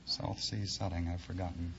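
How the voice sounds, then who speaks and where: A man's voice: low voice, little pitch movement, moderate pace, very noisy. A man taking part as a quiz show contestant, speaking in a formal, well-spoken way. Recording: muffled vintage radio, loud audience.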